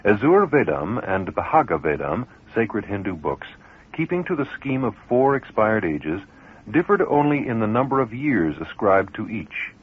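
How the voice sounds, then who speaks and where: A man reading scripted documentary narration from books in a formal, declamatory manner, with a husky voice. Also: lowish voice, sing-song, measured pace. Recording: tinny, band-limited radio recording.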